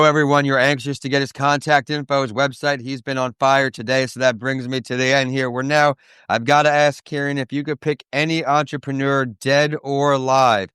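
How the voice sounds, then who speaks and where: A man hosting an interview podcast, upbeat and full of praise, sometimes slowing down for emphasis. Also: medium pitch, fairly bright tone, smooth, no echo, studio quality. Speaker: podcast host.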